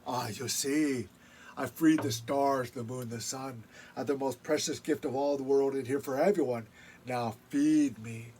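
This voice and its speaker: A man voicing Raven, a hungry, boastful trickster spirit, speaking slow, low and demanding. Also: fairly even intonation, a little mellow, a little hiss or hum, natural voice.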